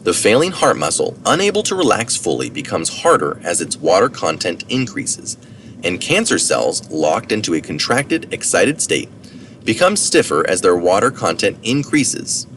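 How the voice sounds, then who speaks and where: A man's voice: sits low, sing-song, moderate pace, fairly bright tone. A man reading a science article aloud as narration, with informal asides for citations. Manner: informal asides for citations, occasional self-correction. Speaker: reader of articles. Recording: distant mic, noticeable background noise.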